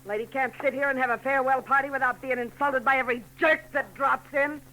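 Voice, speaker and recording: An actress playing a brassy, slangy, tough fortune teller in a 1940s radio drama. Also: female voice, sits high, steady tone, quick pace, sharp tone, low-quality recording. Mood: hostile.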